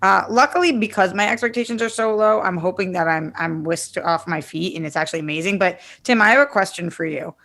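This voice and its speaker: A woman's voice: lowish voice, brisk, gravelly, few pauses, fairly bright tone. A woman co-hosting a podcast, chatting casually and putting questions to the others. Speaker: podcast co-host. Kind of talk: casual chat.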